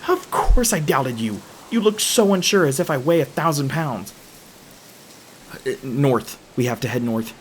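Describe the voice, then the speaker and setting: A man playing an edgy, protective young hunter in an audio roleplay, earnest, urgent and halting. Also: medium pitch, expressive, slight background noise, voice pushed up, natural voice. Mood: relieved, excited. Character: edgy, anxious young male hunter, protective and foul-mouthed.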